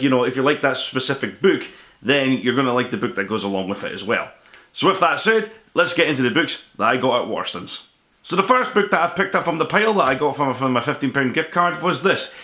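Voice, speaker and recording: A man's voice: medium pitch, bright, forceful tone. A man hosting a casual vlog, talking to viewers in an enthusiastic, rambling way. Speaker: host of a book haul vlog.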